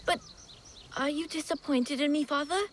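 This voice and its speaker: Woman voicing a high-pitched, anxious young boy. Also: fairly even intonation, normal speed, a little hiss or hum, natural voice. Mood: hopeful, anxious. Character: anxious, gentle young son of a feudal lord. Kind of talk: cinematic video game acting.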